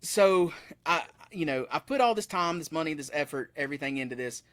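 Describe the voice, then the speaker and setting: Man, 30s, musician guest; loose, self-correcting storyteller, slightly raised pitch. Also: animated, a little fast, fairly bright tone, no room sound. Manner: rambling, self-correcting, laughs often. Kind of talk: casual interview chat. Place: room with metal posters behind him.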